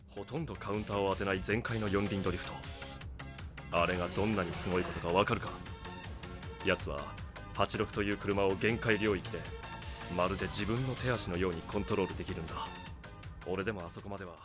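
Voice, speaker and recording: An actor playing a calm, serious street racer in an anime, delivering his lines in a measured way. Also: low voice, lightly acted. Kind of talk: anime dialogue. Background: music.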